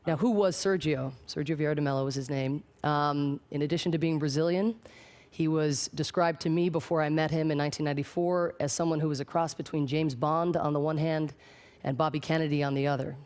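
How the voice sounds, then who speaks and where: A man's voice: a little high, steady tone, fairly quick, a little mellow. A man giving an anecdotal talk as a public speaker, articulate and rhetorical. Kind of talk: anecdotal talk profiling a person.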